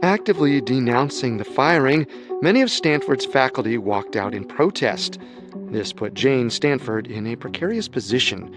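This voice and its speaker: A man's voice: medium pitch, expressive, moderate pace, music in the background. A man narrating a true-crime radio drama in a grave, ominous scripted style.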